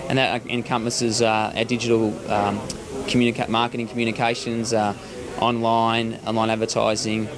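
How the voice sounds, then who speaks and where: A man answering an interview as a marketing manager, with halting phrasing. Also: medium pitch, little pitch movement. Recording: busy crowd noise behind.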